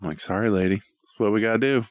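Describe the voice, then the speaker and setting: A man's voice: on the low side, fast talker, dry recording. A middle-aged American man chatting casually as a podcast co-host, rambling and self-deprecating, with some easy swearing. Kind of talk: casual chat.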